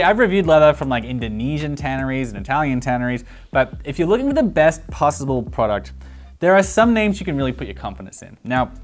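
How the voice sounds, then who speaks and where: A chatty Australian man in his thirties hosts a relaxed explainer, racing through his points with plenty of "like" and "um". He is recorded close on a lapel mic in a bright studio. Light background music sits under his voice. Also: mid-range voice. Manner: chatty, fast, lots of "like" fillers. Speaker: boot reviewer host. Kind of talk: casual explainer. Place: bright indoor studio at a table.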